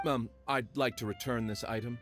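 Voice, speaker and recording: A man's voice: mid-range voice, fairly even intonation, moderate pace, music in the background, natural voice. Man as an awkward, evasive game customer. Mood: uneasy. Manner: hesitant, polite.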